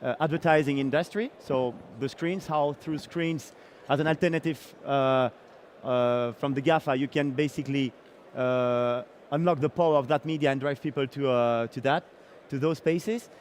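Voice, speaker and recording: A middle-aged man from Belgium giving a startup founder's pitch, hesitant and full of fillers and restarts. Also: steady tone, moderate pace, hardly any pauses, a little hiss or hum.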